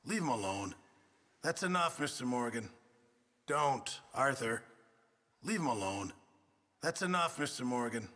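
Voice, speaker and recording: An actor playing a gruff, fretful middle-aged camp cook in a Western video game, exasperated and husky. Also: male voice, medium pitch, a little slow, long pauses, big-room sound. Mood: pleading. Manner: exasperated, excitable, swinging from mutters to shouts. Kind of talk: video game ambient character barks.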